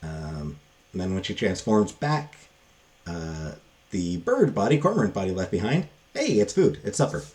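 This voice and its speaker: A man giving a casual, unscripted book review, halting and thinking aloud with frequent pauses. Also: deep voice, very animated, darkish tone.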